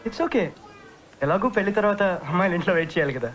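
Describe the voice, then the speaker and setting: An actor playing an eager, jokey bridegroom on a matchmaking date in a comedy film, chatting away. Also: male voice, high-pitched, animated, racing. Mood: jokey, flirtatious. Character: eager, jokey bridegroom seeking a second marriage. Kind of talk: comic film dialogue. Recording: film soundtrack with loud music behind.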